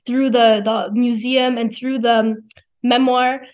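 A woman's voice: fairly high pitch, level tone, takes it slowly, darkish tone. A young woman in her late teens, a student giving informal, plain-spoken answers in an interview. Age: teens, high school senior.

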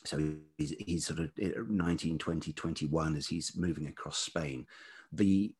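A man with a velvety voice talking reflectively as an interview guest, thoughtful and halting, often pausing mid-phrase. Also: very deep voice, fairly even intonation, a little mellow, husky. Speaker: podcast guest, writer and life writing teacher.

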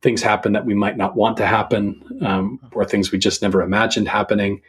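A middle-aged man speaks as a guest in a reflective, casual conversation. His voice is fairly low and husky, and he talks in a hesitant, thoughtful way, often repeating words as he forms his point. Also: little pitch movement, brisk. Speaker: podcast guest, writer.

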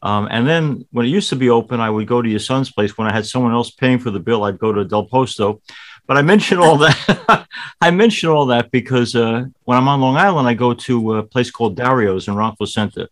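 A priest hosting a television interview show, reading a scripted introduction and then asking easy conversational questions with the odd filler. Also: male voice, medium pitch, brisk.

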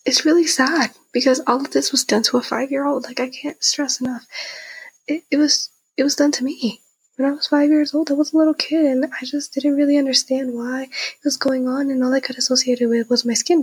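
A nineteen-year-old woman with a husky, breathy voice tells a personal story in a casual, candid, youthful way, repeating phrases for emphasis. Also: sits high, steady tone, fairly quick, dry recording, poor audio. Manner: youthful conversational, emphatic repetition.

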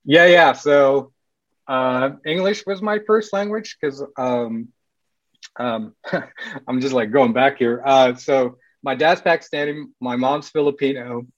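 A man's voice: medium pitch, takes it slowly, dry recording, muffled recording. Easygoing man, filler-heavy rambling. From Sweden and California. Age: late 30s. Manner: rambling, many "like" fillers, laughs often. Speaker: podcast guest, cycling team runner. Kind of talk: casual interview chat.

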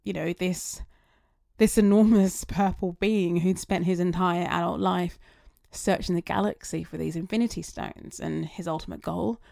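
Woman musing out loud, kinda low and gravelly, goes off on tangents, dry close mic. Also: fairly even intonation, normal speed, no echo. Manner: chatty, rambling, goes off on tangents. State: voice getting slightly raspy. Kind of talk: casual reflective monologue.

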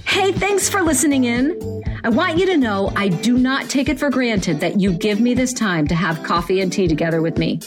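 A woman's voice: medium pitch, breathy edge. A woman hosting a motivational podcast, speaking in an emphatic, encouraging way and repeating phrases for effect. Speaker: podcast host, motivational coach.

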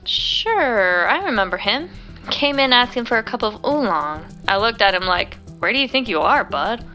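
An actress playing a friendly, chatty young diner waitress in an audio drama, with an informal, chirpy manner. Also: female voice, a little high, very animated, moderate pace, sharp tone, soft background music, rough recording. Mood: chatty recounting. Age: young adult.

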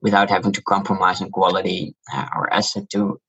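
A low-voiced man with a Dutch accent explains his business in a calm, measured interview manner. His voice is husky. The recording sounds dull and lo-fi. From Netherlands. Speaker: shoe brand founder, guest. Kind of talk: interview answers.

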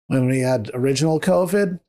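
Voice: mid-range voice, a little fast, warm tone. A podcast co-host in casual book talk, rambling and joking, with many fillers.

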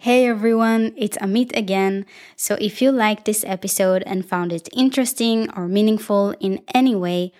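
Upbeat Israeli woman podcast host. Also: medium pitch. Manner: reflective and upbeat, speaks warmly to listeners. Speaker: dancer. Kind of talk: solo podcast monologue.

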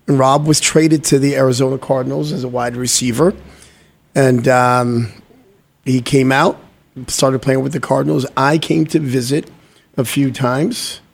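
An upbeat man talking as a guest in a relaxed interview. He is originally from Guyana and drops motivational sayings as he chats, in a medium-pitched voice. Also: a little slow, creaky. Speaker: guest entrepreneur. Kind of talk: casual interview chat.

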